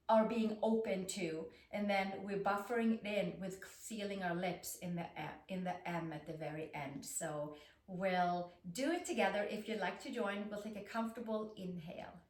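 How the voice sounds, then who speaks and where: A woman with a Swedish accent gently guiding a yoga class, calm and soothing as she talks students through breathing. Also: mid-range voice. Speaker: yoga instructor. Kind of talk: guided yoga class instruction. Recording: roomy echo, distant mic.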